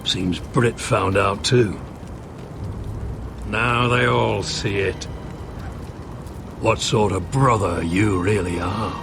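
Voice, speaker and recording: An actor playing a stern, accusing, scornful officer of a sworn brotherhood in a game drama, with a gravelly voice. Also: male voice, on the low side, fairly even intonation, takes it slowly, pauses often, music in the background. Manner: menacing, scornful.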